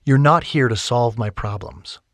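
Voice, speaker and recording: A man voicing a stressed, stubborn young woman, raising his pitch and speaking emphatically. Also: unhurried, a bit bright, no echo. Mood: upset.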